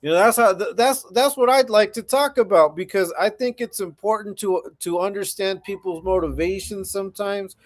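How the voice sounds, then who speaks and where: A man's voice: high voice, normal speed, few pauses. A man in his forties hosting a casual livestream, chatting laid-back with viewers and often repeating himself. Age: 40s. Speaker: livestream host.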